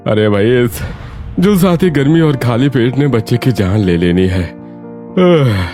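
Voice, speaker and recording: An actor playing a weak, ailing old father-in-law in a cartoon story, halting and groaning as he laments. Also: mid-range voice, animated, dark tone, faint music behind. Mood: weary, lamenting.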